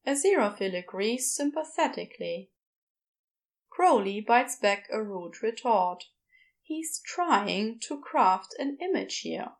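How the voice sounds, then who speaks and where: A woman's voice: on the high side, lively intonation, slow pace, gravelly. A woman reading scripted fiction narration as the narrator of a podfic story.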